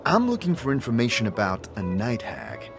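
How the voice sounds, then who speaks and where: A man's voice: mid-range voice, wildly swooping pitch, moderate pace. A man playing an inquisitive wanderer in an audio drama, asking questions in a casual, slightly hesitant way. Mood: tentative. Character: inquisitive adult male wanderer seeking information. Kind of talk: acted audio drama dialogue and narration. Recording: music under the voice.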